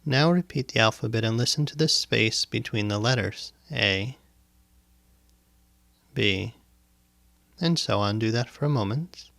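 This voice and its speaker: A man guiding a meditation as a teacher, speaking in deliberate, instructive phrases with pauses and repetition, close to the microphone. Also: mid-range voice, vocal fry, fairly bright tone, no echo. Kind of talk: guided meditation, spoken instruction. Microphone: close microphone, dry sound.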